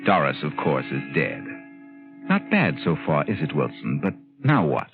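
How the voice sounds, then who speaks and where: Smug sinister radio plotter, raspy and theatrical, tinny 40s recording with faint music behind. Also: male voice, very deep voice, huge pitch swings, exaggerated voice. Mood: brisk, sinister. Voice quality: husky. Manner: deliberate, theatrical, knowing. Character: smug, sinister male plotter guiding a murder story. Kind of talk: 1940s radio acting, dramatic narration.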